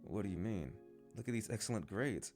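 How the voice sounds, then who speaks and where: Man voicing a proud, gentle mother. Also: lowish voice, lively intonation, fairly quick, music in the background, close to an everyday voice. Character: gentle, proud mother defending her young son.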